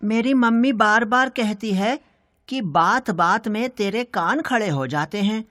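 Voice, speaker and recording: A woman's voice: medium pitch, unhurried, fairly bright tone, no echo, close to an everyday voice. Woman playing earnest little schoolboy, dry recording. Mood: earnest, puzzled. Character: earnest, literal-minded schoolboy. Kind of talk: animated kids' lesson dialogue.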